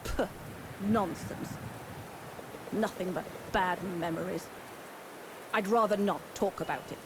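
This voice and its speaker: An actress playing a gruff, bitter older innkeeper and former resistance fighter in a video game, blunt and world-weary. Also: female voice, fairly low pitch, takes it slowly. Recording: clear background ambient noise.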